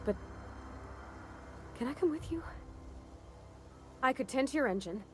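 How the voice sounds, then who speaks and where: A young woman acting an eager, awkward ship mechanic in a video game, with a folksy, halting, earnest manner. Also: high-pitched, animated, a little hiss or hum. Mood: hopeful, eager. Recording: music behind the voice.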